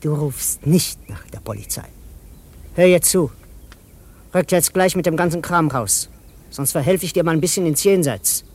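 An actor playing a sly, menacing gangster who taunts with mock sweetness in a children's radio play. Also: male voice, fairly high pitch, slightly rough. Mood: cold threat. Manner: mocking, drawn-out mock affection, repetitive taunts.

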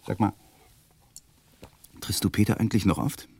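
An actor playing a troubled, guarded lawyer in a radio drama, speaking tersely and politely. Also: male voice, on the low side, steady tone, moderate pace, creaky, darkish tone, husky, dry recording. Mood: guarded, subdued.

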